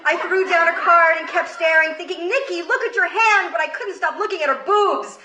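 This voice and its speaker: A high-pitched American woman in her thirties performs comedic storytelling live. Her voice is bright and forceful, with dramatic emphasis and pauses. It is recorded in a roomy, echoing space. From Queens, New York, United States. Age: 30s. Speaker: comedy storyteller, show host. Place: live comedy stage before an audience. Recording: roomy live stage recording, faint noise.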